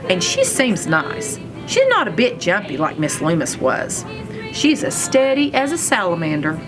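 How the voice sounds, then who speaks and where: A woman reading a children's chapter book aloud, telling a first-person story with expressive, deliberate emphasis. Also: lowish voice. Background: music.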